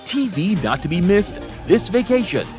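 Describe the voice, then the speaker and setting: A man voicing upbeat television promos with a lively, punchy announcer delivery. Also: high-pitched, moderate pace, faint music behind.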